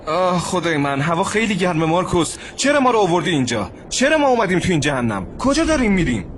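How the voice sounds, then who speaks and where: A fussy, easily alarmed friend, played by a man, stammers and frets in a fairly high, very lively voice. This comes from a cartoon comedy dub, with faint noise underneath. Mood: exasperated. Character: fretful, fussing male friend, easily alarmed. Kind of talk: comedy dub of an animated sketch.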